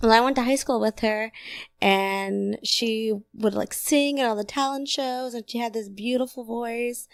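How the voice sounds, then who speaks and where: Young woman, raspy voice, slightly high pitch, casual chatty podcast co-host, animated, close dry sound. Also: measured pace, no room sound. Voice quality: raspy, worn voice. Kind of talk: casual chat.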